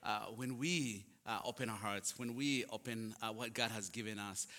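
A man's voice: on the low side, normal speed, bright, forceful tone. A man from Uganda with a Ugandan accent, speaking English as a later language, gives a personal testimony to a congregation with storytelling and self-deprecating humour. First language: not English; English is his fourth language. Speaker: guest speaker for a charity. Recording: long hall reverb.

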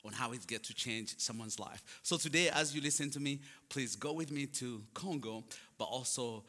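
A man's voice: medium pitch, normal speed, bright, forceful tone, soft background music. A man from Uganda with a Ugandan accent, speaking English as a later language, gives a personal testimony to a congregation with storytelling and self-deprecating humour. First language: not English; English is his fourth language. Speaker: guest speaker for a charity. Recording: long hall reverb.

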